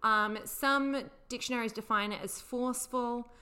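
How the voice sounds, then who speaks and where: A woman's voice: on the high side, steady tone, moderate pace, sharp tone. A woman co-hosting a casual chat, explaining slang with frequent laughter. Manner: laughs often, explains patiently. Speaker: podcast co-host.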